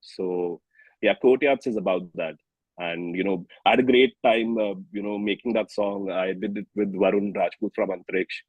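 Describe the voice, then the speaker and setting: Low-voiced Indian man, slightly husky, chatting. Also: fairly even intonation, normal speed, no room sound, phone-quality sound. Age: early 30s. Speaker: singer-songwriter being interviewed. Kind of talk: casual interview chat.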